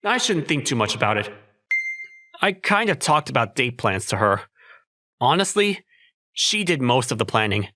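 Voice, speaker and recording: A man's voice: medium pitch, very animated, normal speed, long pauses, sharp tone, no echo. A man playing an awkward, modest young working man in a romcom manga dub, musing dryly and hesitantly about himself. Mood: musing, resigned. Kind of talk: manga dub voice acting with inner monologue.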